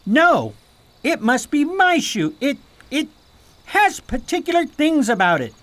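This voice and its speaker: A woman's voice: high voice, a little slow. A woman playing an earnest, anxious and awkward young prince in a radio play. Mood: frantic, insistent. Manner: earnest, excitable, hesitant when shy. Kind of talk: radio theater acting.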